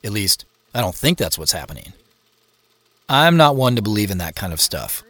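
Low-voiced reflective American man narrating. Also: sing-song, normal speed, a bit bright, dry recording. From Seattle, United States. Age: 40s. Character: reflective, curious grandson recounting a family mystery. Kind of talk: first-person scripted audio drama narration.

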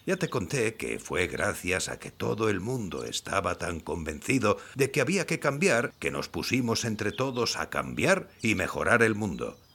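A man acting a kindly, modest old grandfather and former scientist in an audio drama, explaining things in a measured way. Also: fairly low pitch, very animated, a bit bright, slightly rough, full character voice. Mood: modest, tender. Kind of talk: scripted audio fiction acting.

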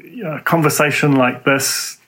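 A man with a New Zealand accent hosting an interview podcast, talking in halting bursts with many restarts. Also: a little high, fairly bright tone. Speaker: podcast host.

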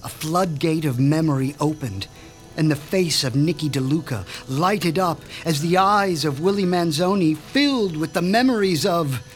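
A sinister mad doctor from a horror radio play, measured and pompous, savoring every cruel detail, as a man acts him out. His voice sits a little high for a man, with faint music under it. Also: lightly acted. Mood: menacing. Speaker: Dr. Stein. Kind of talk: radio drama acting.

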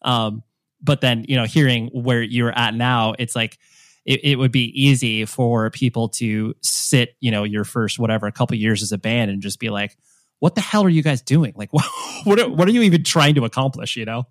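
A man's voice: mid-range voice, moderate pace. Rambling man hosting a podcast. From United States. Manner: rambling, many restarts and fillers. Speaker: podcast host. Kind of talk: casual interview chat.

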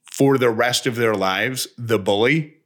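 A man's voice: mid-range voice, unhurried, fairly bright tone. A man in casual, reflective personal talk as a co-host, speaking in an earnest, reassuring way in long monologues.

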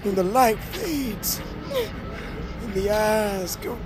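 A man's voice: high-pitched, slow pace, loud music behind the voice. An actor playing a bitter, obsessed middle-aged father in an audio drama, defiant and menacing. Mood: menacing, cruel. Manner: intense, defiant, swearing. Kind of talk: audio fiction drama acting.

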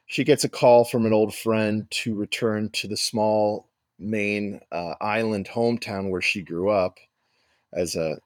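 Male writer guest, American, lowish voice, dry sound, halting conversational explanations. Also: dry recording. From East Coast of the United States.

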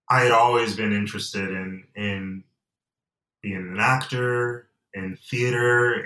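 A young man from Atlanta and Nigeria, a guest in a casual interview, talking reflectively with many pauses and fillers. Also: fairly low pitch, sharp tone, distant mic. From United States and Nigeria. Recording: roomy and off-mic.

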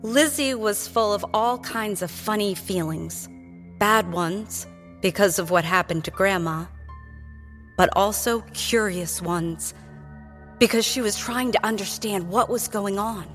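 A woman's voice: mid-range voice, measured pace, a bit bright, faint music behind, natural voice. A woman narrating a scripted fantasy tale for children in an audio drama. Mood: confiding.

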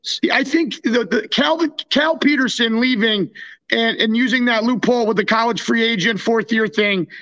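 High-pitched, creaky North American man. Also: normal speed, a bit bright, highs cut off. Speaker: podcast co-host and former hockey player. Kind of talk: casual sports chat.

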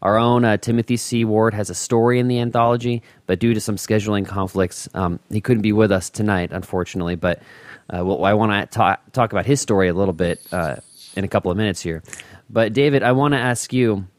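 A man's voice: fairly low pitch, brisk, no echo. A man hosting a podcast interview in a casual, conversational style. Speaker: podcast host.

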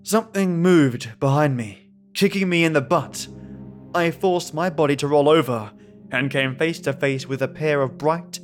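A man reading a scripted first-person horror story as a dramatic narrator. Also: on the high side. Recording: voice over background music.

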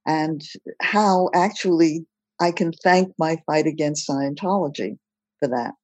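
An older woman from Belgium, recounting her life story as a podcast guest in a measured, plain-spoken way. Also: sits low, a little mellow, no echo. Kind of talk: personal storytelling in a podcast interview.

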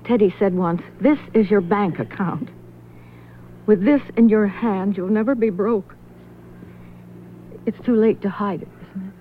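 A woman's voice: mid-range voice, a little slow, long pauses, lightly acted. A woman playing an uneasy, hesitant widow confiding what she knows in a 1970s radio drama. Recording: muffled, slightly noisy vintage radio recording.

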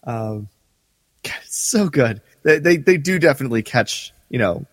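A man co-hosts a podcast with a very animated, mid-pitched voice. His talk is casual and conversational, with some lingering reverb. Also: moderate pace, studio quality. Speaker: podcast co-host. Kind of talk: casual discussion of a radio drama.

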